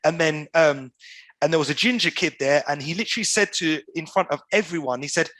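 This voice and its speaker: Animated man telling stories. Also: fairly high pitch, brisk, no room sound. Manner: animated storyteller, uses slang like "bro" and "you get me". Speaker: podcast guest. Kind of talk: casual storytelling over video call. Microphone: video call.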